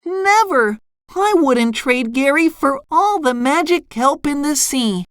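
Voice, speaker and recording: An excitable young cartoon sea sponge played by a woman, pitched high and swinging widely as he exclaims. He is naive and eager, a wide-eyed storybook hero, recorded close and dry. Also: takes it slowly, no room sound. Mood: indignant. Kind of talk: children's storybook character reading.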